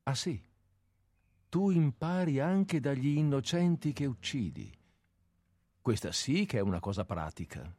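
A man's voice: medium pitch, very animated, a little slow, pauses often, mellow, dry recording. An actor in a radio play, voicing a sarcastic, bitter man who confronts his executioner at night. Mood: scornful. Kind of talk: radio drama acting.

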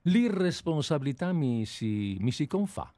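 A weary male radio host who talks in a halting way, full of restarts and pauses, as he takes leave of the show. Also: a little high, sing-song, dark tone, no echo. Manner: hesitant, halting, many restarts. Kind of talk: casual on-air chat.

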